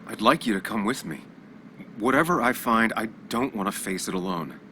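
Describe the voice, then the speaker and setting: A man's voice: fairly low pitch, expressive, moderate pace, bright, forceful tone, slight background noise. An actor playing a brooding, guarded journalist in a video game, analytical and understated in his delivery. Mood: resolute. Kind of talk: video game voice acting with muttered inner monologue. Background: music.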